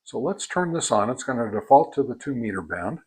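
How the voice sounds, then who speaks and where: An older American man giving a casual, unhurried product review, explaining things step by step. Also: medium pitch, very animated, husky. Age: older, 60s or above.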